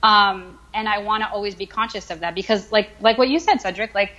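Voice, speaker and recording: A woman's voice: mid-range voice, a little fast, sharp tone, muffled recording. A young woman in her twenties from the United States, talking casually as an interview guest, with many fillers as she thinks aloud. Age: 20s. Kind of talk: casual conversation.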